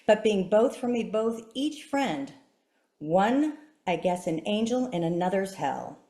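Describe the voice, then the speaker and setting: A woman's voice: medium pitch, lively intonation, measured pace, dark tone. Woman reciting a sonnet in an echoey room. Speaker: poetry reader. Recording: roomy echo.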